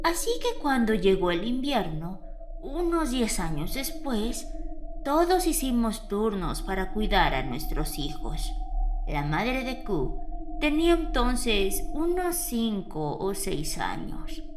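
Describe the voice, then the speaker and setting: A woman playing an elderly grandmother who recounts a grim horror tale in a measured, dramatic way with weighty pauses. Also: mid-range voice, big pitch swings, background noise. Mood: somber, hushed. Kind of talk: dramatic horror audio fiction narration. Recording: eerie music behind the voice.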